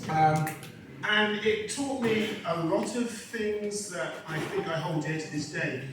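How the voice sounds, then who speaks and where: A man's voice: high-pitched, slow pace, background noise. A man, giving an unprepared speech at an indoor community event, hesitant and full of ums and restarts. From England. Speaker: guest speaker at a community event. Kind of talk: impromptu speech. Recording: echoey hall recorded from far off.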